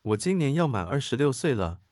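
Man reading translations with lively pitch. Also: fairly quick, no room sound, studio quality. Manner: plain, steady reading of short lines. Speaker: co-host giving translations.

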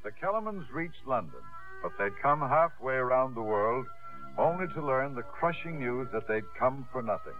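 A man's voice: mid-range voice, expressive, moderate pace, close to an everyday voice. A man acting as narrator tells the story in dramatic 1950s radio style, his medium voice rising and falling widely. Music plays behind him on a narrow, tinny old broadcast.

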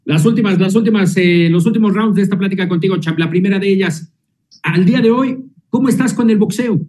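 High-voiced friendly sports interviewer. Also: male voice, a little fast, darkish tone. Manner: friendly, calls the guest Champ.

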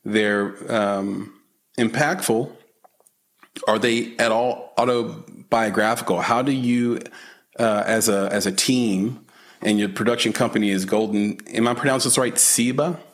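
A man hosting an interview, talking in a halting way with many fillers and restarts, laughing easily. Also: lowish voice. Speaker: podcast host, interviewer. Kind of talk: casual interview.

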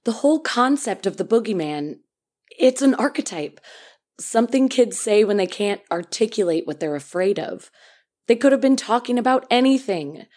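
A woman's voice: a little high, lively intonation, normal speed, darkish tone, natural voice. A woman playing a sceptical, rational girlfriend in naturalistic audio drama dialogue, speaking bluntly. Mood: exasperated. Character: sceptical, exasperated girlfriend of a sleepless doctor.

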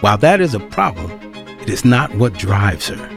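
A man's voice: fairly low pitch, very animated, moderate pace, creaky, a bit bright. A man reading scripted narration for an audio drama, with string music behind him.

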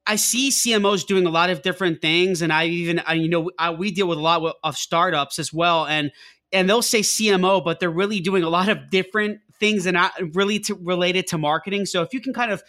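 A man with a notably high voice hosts a business interview show. His questions come out halting, with many restarts and filler words. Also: steady tone, sharp tone. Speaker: podcast host. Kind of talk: podcast interview.

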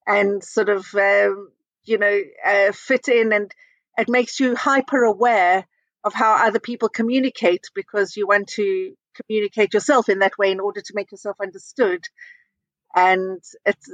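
A middle-aged woman from South Africa, a guest in a conversational interview, talking in a measured, thoughtful way with frequent ums and uhs. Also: mid-range voice, no echo, muffled recording. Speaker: interview guest, communications consultant.

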